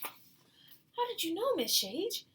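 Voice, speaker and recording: Woman doing an eager, high-voiced little nurse girl. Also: big pitch swings, takes it slowly, fairly bright tone, heavily acted. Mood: curious. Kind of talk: children's storybook read-aloud.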